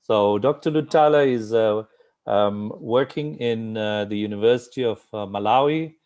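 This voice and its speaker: A man hosting an informal interview, speaking in a halting, thinking-aloud manner with frequent fillers. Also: on the low side, a little mellow, dull audio. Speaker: interviewer, host. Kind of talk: informal interview, welcoming guests.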